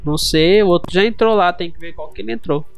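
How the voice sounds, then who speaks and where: A man's voice: high-pitched, fast, vocal fry, soft background music. A man with a Brazilian accent in slangy, casual chat with friends during an online roleplay game. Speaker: gamer in a group roleplay session.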